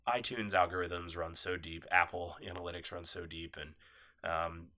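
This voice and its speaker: A man's voice: low voice, steady tone, moderate pace, pushed and bright. A man hosting a casual solo podcast, nasal and coughing from a cold, rambling and apologetic. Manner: rambling, apologetic, loose and laid-back. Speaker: podcast host. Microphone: phone set down nearby.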